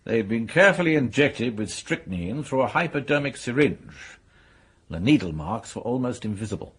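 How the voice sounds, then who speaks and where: Husky, sober police major. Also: male voice, medium pitch, animated. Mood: matter-of-fact. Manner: measured, serious, matter-of-fact. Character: sober, businesslike male police major briefing colleagues. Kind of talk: radio mystery drama dialogue.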